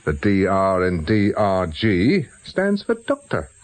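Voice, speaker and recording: A man acting a shrewd, stubborn amateur sleuth in a British radio drama, questioning bluntly in a husky voice. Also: sits low, wildly swooping pitch, takes it slowly, exaggerated voice. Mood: musing, determined. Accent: British English.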